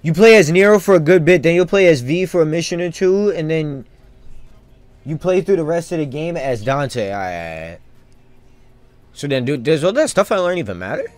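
A man streaming a video game with casual live commentary, rambling and thinking out loud in loose slang. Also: a little high, animated, moderate pace.

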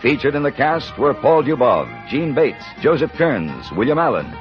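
A man announcing in formal, measured, scripted 1950s radio style. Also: medium pitch, huge pitch swings, soft background music. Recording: dull old radio broadcast recording.

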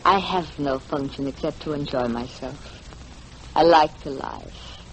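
Low-voiced worldly femme fatale. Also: female voice, a little slow, low-quality recording. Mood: seductive. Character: seductive, worldly, amoral married femme fatale. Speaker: actress in a radio drama. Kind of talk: 1940s radio acting. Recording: 1940s radio recording, faint noise.

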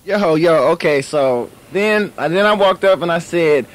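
A man's voice: on the high side, moderate pace, crowd noise. A man co-hosting a radio show with playful, slangy, casual banter.

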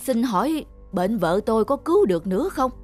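A man voicing a scheming, wealthy middle-aged merchant in an animated folk tale, smooth-talking and self-important. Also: very high-pitched, a little fast, soft background music. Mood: ingratiating, scheming.

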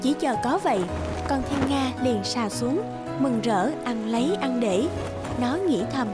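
High-voiced woman narrating a fairy tale. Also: lively intonation, a little slow. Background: background music.

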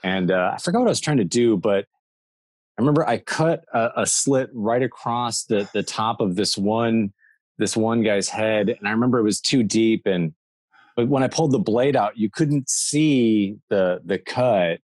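A man in his thirties from the Midwest talks over a video call from a room lined with toy shelves. His voice sits low and sounds dry and close, and he wanders through his stories with plenty of ums and restarts. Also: moderate pace, darkish tone, no echo. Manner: rambling, many fillers and restarts. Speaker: guest toy maker and artist. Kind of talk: casual interview chat.

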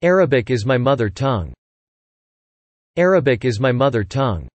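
A man reading English words and example sentences slowly and clearly for learners, saying each one twice. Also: medium pitch, very animated, no echo, dull audio. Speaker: English word reader. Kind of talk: slow repeated vocabulary reading.

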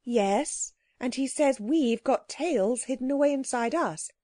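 Woman playing a young girl, earnest, firm opinions, mellow, dry. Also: high voice, a little slow, dry recording, pitched above the performer's own voice.

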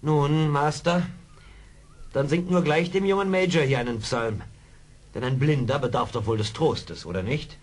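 An actor playing a seasoned, wry frontier scout in a German radio play, dry, mocking and commanding. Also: male voice, fairly high pitch, normal speed, slightly rough. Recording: hissy old radio play record.

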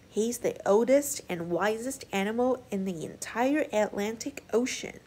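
A woman's voice: a little high, expressive, unhurried, a little mellow, breathy edge. A woman voicing a helpful giant octopus in a children's storybook, casual and encouraging.

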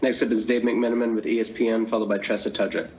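Man, press moderator, quick businesslike delivery, slightly muffled audio. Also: on the low side, level tone, a little mellow, a little hiss or hum. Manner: brisk, businesslike announcements. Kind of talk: calling on reporters.

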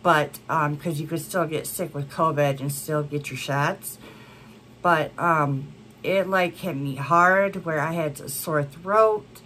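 A woman's voice: deep voice, slow, fairly bright tone, slight background noise. A woman in her thirties chatting to camera as a beauty vlogger, congested from a cold, rambling with many fillers. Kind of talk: casual chat to camera. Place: home room with a plant wall and neon signs.

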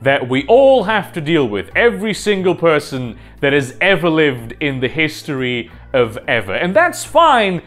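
A young man with a loud voice hosting an advice vlog from a room at home, talking emphatically with wide swings in pitch. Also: sing-song, moderate pace, hardly any pauses. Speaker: video essay host. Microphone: lapel mic.

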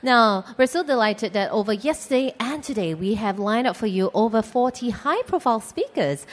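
A woman emcee announcing a panel in an upbeat, announcer-like way. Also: on the high side. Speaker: event emcee. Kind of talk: scripted stage announcing. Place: large expo hall. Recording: long hall reverb.

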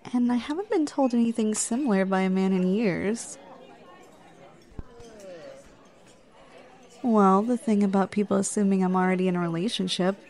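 A woman's voice: medium pitch, a little mellow, natural voice. Flirty, teasing woman acting on a date. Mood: amused, flirty. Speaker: ASMR roleplayer. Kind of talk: ASMR roleplay.

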